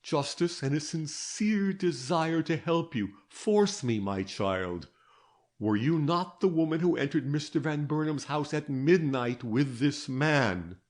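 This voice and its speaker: Man reading an older detective, stern, grave, insistent, very slow and deliberate. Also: on the high side, expressive, voice pushed up.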